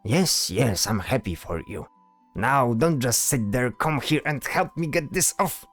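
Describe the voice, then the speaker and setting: A man's voice: mid-range voice, animated, measured pace, faint music behind. A man voicing a bold, teasing bard in a fantasy audio drama, talking theatrically and tagging questions onto his sentences. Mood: impatient. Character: flamboyant male bard, teasing, bold, self-assured.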